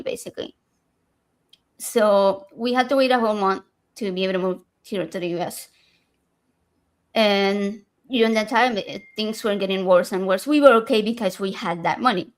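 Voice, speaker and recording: Woman from Argentina telling her story. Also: mid-range voice. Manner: candid storytelling with frequent fillers. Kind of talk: casual podcast chat.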